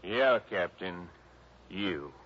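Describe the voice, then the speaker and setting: An actor playing an easygoing, folksy police chief in a 1960s radio drama, laconic and plain-spoken. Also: male voice, deep voice, lively intonation, drawn-out words, loud music behind the voice, full character voice. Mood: calm, firm. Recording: noisy, muffled vintage radio recording.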